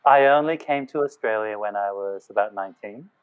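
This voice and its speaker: A man's voice: on the low side, brisk, clean tone. Hesitant man on a tinny line. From Malaysia. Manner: hesitant, many fillers and restarts, reflective. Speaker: guest. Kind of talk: casual podcast chat. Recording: phone-like narrow sound.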